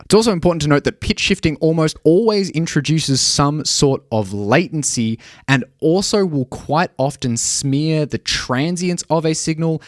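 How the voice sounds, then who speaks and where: A man giving a measured, clearly explained tutorial narration as a music production tutor. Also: medium pitch, no echo, clean studio sound.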